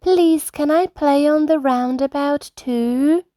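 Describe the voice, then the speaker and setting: A woman voicing an excitable, eager little girl rabbit in a children's storybook. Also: high voice, slow, no echo, studio quality, pitched above the performer's own voice. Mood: eager, polite. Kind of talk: children's storybook read-aloud.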